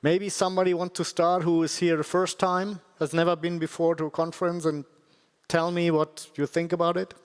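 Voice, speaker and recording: A man addressing a conference audience from the stage as the organization's president, speaking in an unhurried, soft-spoken way with hesitant fillers. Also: on the high side, little pitch movement, smooth. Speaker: foundation president. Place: large conference hall, on stage. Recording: long hall reverb, crowd behind.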